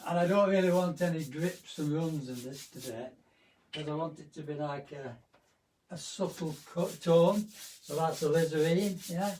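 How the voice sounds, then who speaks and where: A hoarse man, chatting through a live painting demonstration at home. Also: a little high, a little mellow, distant mic, a little hiss or hum, low-quality recording. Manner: chatty, rambling, with fillers. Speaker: art teacher.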